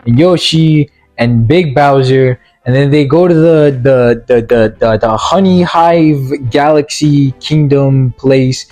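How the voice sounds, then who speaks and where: A man giving a casual, rambling movie review in a halting, stammering way, with a sarcastic, mock-earnest streak. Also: medium pitch, a little mellow, poor audio. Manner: halting, stammering delivery with repeated words. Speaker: video reviewer.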